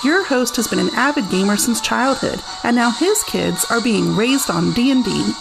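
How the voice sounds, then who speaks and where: A woman's voice: a little high, animated, normal speed, creaky, low-quality recording. A woman reading a scripted podcast intro voice-over in a polished promotional way. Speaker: show announcer. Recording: voice-over over music bed. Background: music.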